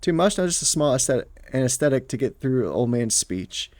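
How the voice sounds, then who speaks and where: Man acting drunk young nobleman, fantasy game, halting, familiar, medium pitch, dry sound. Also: big pitch swings, a little mellow, no echo, natural voice. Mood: tipsy.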